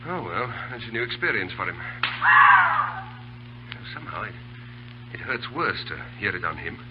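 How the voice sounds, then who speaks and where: An actor playing a wry, flippant British cavalry officer with a dry, understated manner in old-time radio drama. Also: male voice, on the low side, big pitch swings, normal speed. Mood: weary, understated. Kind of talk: 1930s radio drama acting. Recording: muffled, noisy old radio broadcast with hum.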